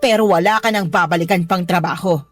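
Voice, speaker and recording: A woman's voice: on the low side, fast talker, dry recording, natural voice. A woman playing a lonely, pushy widowed boss in a Tagalog radio drama, pleading and insistent with seductive intent. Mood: threatening. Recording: music bed behind the voice.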